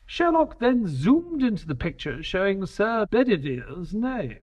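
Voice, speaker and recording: Male narrator as detective's earnest companion: dramatic scripted storytelling, lighter high register, expressive swings. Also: measured pace, husky, dull audio, full character voice. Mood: intrigued.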